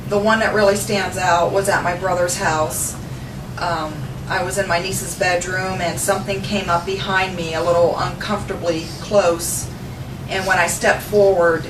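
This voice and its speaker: A woman in her forties with an American accent, giving a casual, somewhat halting testimonial to camera. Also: on the low side, steady tone. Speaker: paranormal group member. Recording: echoey room, distant mic, clear noise.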